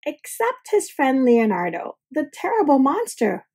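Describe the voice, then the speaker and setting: A woman reads a children's picture book aloud expressively, with deliberate dramatic pauses. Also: high voice, wildly swooping pitch, darkish tone, slightly rough.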